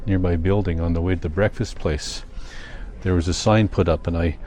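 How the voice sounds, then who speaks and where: An older man with a Canadian accent, chatting casually in a rambling vlog, thinking aloud in a halting, self-correcting way. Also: low voice, steady tone, darkish tone, slight background noise. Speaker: vlogger.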